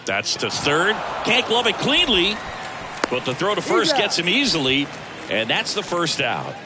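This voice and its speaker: A man playing an alert baseball play-by-play announcer in a video game, quick to rise with the action. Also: high voice, huge pitch swings, exaggerated voice. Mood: alert, building excitement. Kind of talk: sports commentary in a video game. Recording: loud stadium crowd and ambience behind. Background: ballpark crowd noise.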